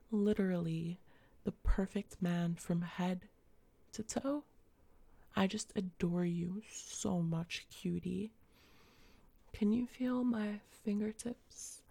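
Woman, low husky fry, dark mellow tone, slow teasing whispers, close and dry. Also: dry recording. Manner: soft, teasing, coaxing, with frequent whispers. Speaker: audio roleplay performer. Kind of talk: intimate roleplay audio addressed to the listener.

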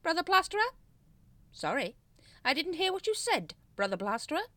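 A woman's voice: very high-pitched, expressive, moderate pace, bright, forceful tone, dry recording, pitched above the performer's own voice, exaggerated voice. A woman voicing a pompous, exasperated male leader of a secret cult in a storybook, theatrical, imperious and sarcastic. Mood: weary sarcasm. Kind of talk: storybook character voice in audiobook.

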